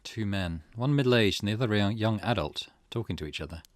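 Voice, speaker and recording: A man as a low-voiced, wary young protagonist. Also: lively intonation, quick pace, no echo, close to an everyday voice. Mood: pensive.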